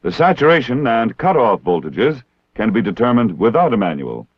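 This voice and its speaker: An American man narrating a scripted training film in a formal, measured, precisely enunciated way. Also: mid-range voice, sing-song, husky, no room sound. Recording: dull 1960s film soundtrack.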